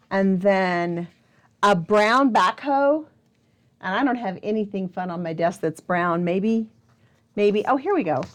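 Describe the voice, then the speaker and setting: A woman hosts a storytime for young children in a medium-pitched voice that swings widely up and down. She takes her time over the words, playfully asking questions and wondering aloud with exaggerated exclamations. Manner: playful, prompting listeners with questions. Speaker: children's librarian and storytime host. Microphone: speaking close to a camera.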